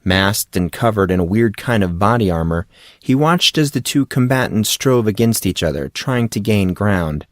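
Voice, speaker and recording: Low-pitched man narrating an audiobook. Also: lively intonation, moderate pace, dry recording, clean studio sound. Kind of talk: scripted fiction narration.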